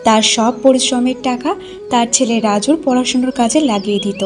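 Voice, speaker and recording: A woman's voice: a little high, steady tone, brisk, music in the background. A woman reading scripted narration for a cartoon folk-style story.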